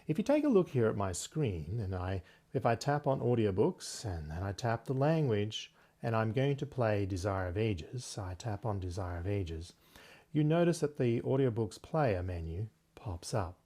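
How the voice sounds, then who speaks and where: Lively, slightly husky man hosting a tutorial. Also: lowish voice, normal speed. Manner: conversational, step-by-step explaining. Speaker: host of tech tutorial series. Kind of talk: software tutorial walkthrough.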